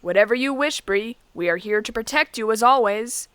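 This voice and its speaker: A woman's voice: on the high side, normal speed, fairly bright tone, smooth, no echo, lightly acted. A woman playing a loyal, wryly teasing royal knight in a fantasy audio drama.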